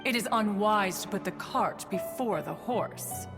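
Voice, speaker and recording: An aloof, proud immortal woman sage from a fantasy game, played by an actress. She lectures slowly and formally in a mid-pitched voice, wrapped in long reverb. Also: loud music behind the voice, close to an everyday voice. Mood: stately, instructive. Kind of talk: video game voice acting.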